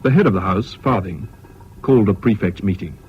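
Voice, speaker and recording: An actor as a measured, wry English narrator, giving scripted first-person narration in a radio drama. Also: male voice, low voice, natural voice. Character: measured, wry male narrator recalling schooldays. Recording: dull, hissy old radio recording.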